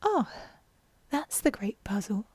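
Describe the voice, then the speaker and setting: A woman's voice: a little high, sing-song, slow, darkish tone, slightly rough, dry recording, heavily acted. A woman voicing a curious, dreamy young girl from a storybook, talking to herself in exclamations and musings. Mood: puzzled. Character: curious, dreamy, self-scolding young girl, prone to chatter.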